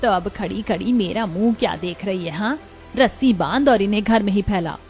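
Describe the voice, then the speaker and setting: A woman's voice: medium pitch, normal speed, a little mellow, faint music behind, close to an everyday voice. A woman playing a scolding, stubborn older mother-in-law in a cartoon story, bossy and nagging.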